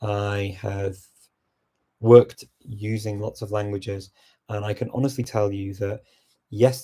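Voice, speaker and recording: Male host, husky and mellow, relaxed chat-style advice to viewers. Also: fairly low pitch. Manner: relaxed, reflective advice-giving. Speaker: host answering viewer questions. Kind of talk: casual live Q&A chat.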